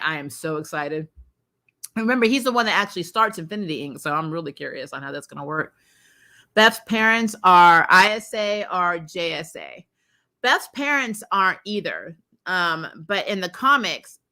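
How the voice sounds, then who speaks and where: A woman with a bright, sharp-edged voice at an ordinary pitch hosts a casual livestream. She reacts with animated opinions and stresses her points by repeating words. Her delivery is chatty and informal, aimed straight at her viewers. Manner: animated, opinionated, quick to laugh. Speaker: host of a TV show review livestream. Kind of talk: casual livestream chat, reacting to episodes and reading viewer comments.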